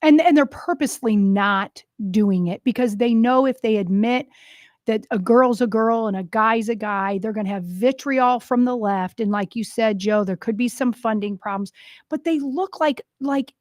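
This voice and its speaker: A woman's voice: a little high, moderate pace, a little mellow, dry recording, clean studio sound. A woman co-hosting a political talk podcast, chiming in with agreement and adding her own points. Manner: agreeable, chimes in with frequent affirmations. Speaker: podcast co-host. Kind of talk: political talk show chat.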